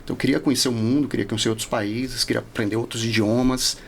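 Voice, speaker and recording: A man's voice: mid-range voice, fairly even intonation, fast talker, vocal fry, slight background noise. A man in his forties from Salvador with a Northeastern Brazilian accent, chatting reflectively and explaining things as an interview guest. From Salvador, Bahia, Brazil. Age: 40s. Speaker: podcast guest, law professor. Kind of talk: casual interview chat.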